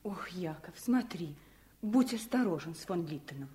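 An actress playing an anxious, caring, genteel wife with urgent, expressive delivery in a radio drama on a noisy old recording. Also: female voice, on the low side, normal speed, close to an everyday voice. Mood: worried. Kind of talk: Soviet radio drama acting.

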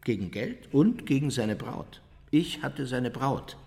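A man's voice: mid-range voice, expressive, takes it slowly, vocal fry, a little mellow, breathy edge, heavily acted. A man acting in a radio play as a boastful former Nazi officer who recounts his wartime exploits conversationally, self-satisfied and acting out what was said. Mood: boastful, animated. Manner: self-satisfied, vivid storyteller who acts out quotes.

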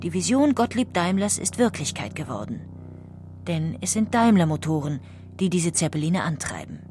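A woman narrating a history audiobook in a calm, even-paced reading style. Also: fairly low pitch, moderate pace, a little hiss or hum. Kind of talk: scripted documentary narration.

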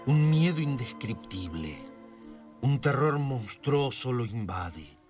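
Slow, ominous radio-thriller narrator. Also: male voice, medium pitch, big pitch swings, a little mellow. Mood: grave suspense. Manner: theatrical, solemn, suspenseful. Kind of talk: melodramatic radio serial narration. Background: music.

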